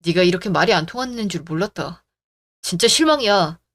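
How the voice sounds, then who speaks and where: A woman's voice: fairly low pitch, lively intonation, fairly quick, a bit bright, voice pushed down. A woman voicing an entitled young male fiancé who talks in a demanding, sneering way in a story radio drama. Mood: angry.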